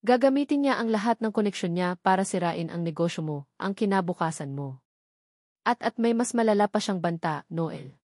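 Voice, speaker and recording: A woman's voice: on the low side, expressive, moderate pace, darkish tone, no echo. A woman narrator plays a fearful, wounded young wife in an audio drama. Her delivery trembles and halts, repeating words as she goes. The sound is dry and close. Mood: bitter, fearful. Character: anguished, fearful young wife, a former housemaid, self-sacrificing.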